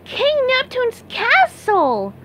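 An eager, naive young sponge boy acted by a woman, cartoonish and extremely high, with lively swoops in pitch. The recording is a noisy homemade one. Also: very slow, exaggerated voice. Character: excitable, naive cheerful young male sea sponge. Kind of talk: amateur comic dub, cartoon voice acting.

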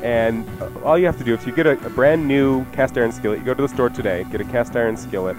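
A man's voice: mid-range voice, fast talker, over music, low-quality recording. A man giving casual, emphatic cooking advice as a radio show's chef and host. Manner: emphatic, matter-of-fact instruction. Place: radio studio.

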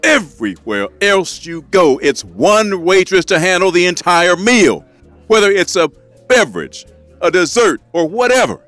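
A man's voice: high-pitched, big pitch swings, unhurried, fairly bright tone, soft background music, heavily acted. A man playing an exasperated, sarcastic restaurant customer in a comedy audio sketch, growing indignant at the service. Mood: outraged.

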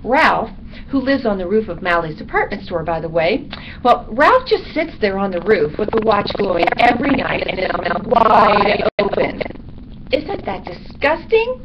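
A woman's voice: mid-range voice, very animated, measured pace. A woman voicing a small, chatty male bat from an animal storybook, with a theatrical, rambling, comically aggrieved delivery. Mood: gossipy, disgusted. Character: chatty, dramatic, self-pitying little male bat. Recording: dull recording with background noise.

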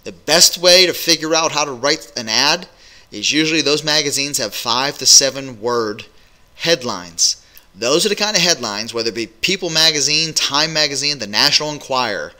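A man's voice: medium pitch, moderate pace. A middle-aged man coaching business owners straight to a webcam in a small home office, talking directly with plenty of uhs. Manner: energetic, direct, many fillers. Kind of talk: direct-to-camera business advice vlog. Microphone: webcam at desk.

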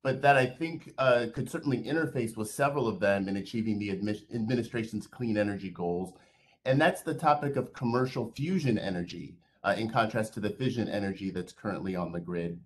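A man, a board member, giving measured, earnest prepared remarks over a video call. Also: on the low side, slight background noise.

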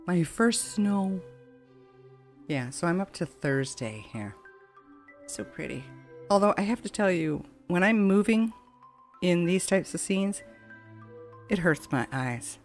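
A woman giving casual, chatty let's play commentary in a rambling, conversational way. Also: on the low side. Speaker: gaming channel host. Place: voice-over on game footage. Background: game music.

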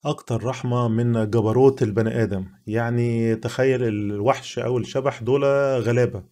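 A man's voice: medium pitch, fairly even intonation, moderate pace, a little mellow. A young man with an Egyptian accent, chatting earnestly to his viewers as he reviews a film in a casual spoken style. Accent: Egyptian Arabic.

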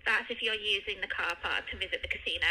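Sharp, firm woman on a phone line. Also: mid-range voice, quick pace, breathy edge. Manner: firm, matter-of-fact. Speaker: casino receptionist. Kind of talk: phone customer service. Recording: noisy phone call on speaker.

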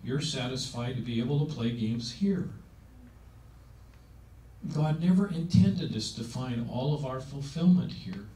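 A man's voice: mid-range voice, lively intonation, a little slow, dark tone. A seventy-year-old man giving an emphatic, conversational sermon-style talk on aging into a handheld mic in a meeting room, asking his listeners rhetorical questions. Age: 70s. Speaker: speaker on aging. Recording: roomy sound from a distance with faint noise.

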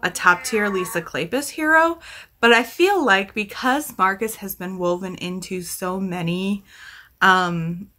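A woman's voice: medium pitch, measured pace, bright, forceful tone. A woman in her thirties chatting casually to camera as a book vlogger, rambling and correcting herself as she thinks aloud. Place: small room at home, indoors.

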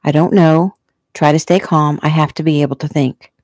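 A woman's voice: sits low, steady tone, moderate pace, darkish tone, dry recording, studio quality. A woman voicing a tense young man in an audiobook, giving curt, controlled, urgent orders. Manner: firm, curt. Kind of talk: audiobook character acting.

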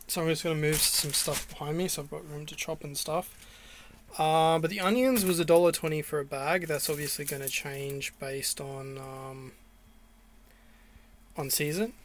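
A man's voice: on the high side, slight background noise. An Australian man talking casually through a cooking walkthrough inside a small van, with many ums and restarts. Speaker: vlogger.

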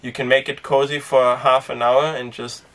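A young man who grew up speaking Danish, chatting casually to camera inside a small sailboat, relaxed and rambling with lots of fillers. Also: medium pitch, moderate pace, recorded from a distance. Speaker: sailing vlogger. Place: inside a small sailboat cabin and cockpit tent.

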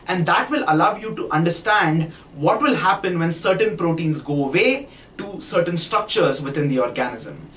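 A man in a darkened lab explaining an experiment in a measured way. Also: a little high, a bit bright, a little hiss or hum. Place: darkened lab room with microscope. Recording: roomy echo, distant mic.

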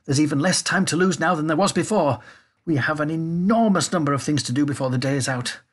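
A man voicing an excitable, eccentric gentleman factory owner in a storybook reading, gushing and repeating his exclamations. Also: fairly high pitch, quick pace, breathy edge, pitched above the performer's own voice. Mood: bustling, eager.

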